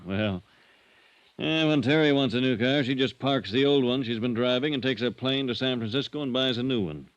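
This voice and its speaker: Actor as a wry banker on dull vintage radio. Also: male voice, mid-range voice, dry recording, close to an everyday voice. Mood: amused, expansive. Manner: wry, folksy, unhurried. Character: shrewd, wry, worldly male small-town banker. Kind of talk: 1950s radio drama acting.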